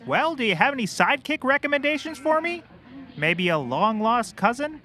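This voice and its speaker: A man acts out a bumbling, eager male private eye in an audio comedy. His voice is extremely high for a man, bright and swooping, with an excitable, oblivious earnestness. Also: moderate pace, faint music behind, exaggerated voice. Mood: oblivious, hopeful. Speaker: actor in a scripted comedy series. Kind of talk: scripted audio comedy acting.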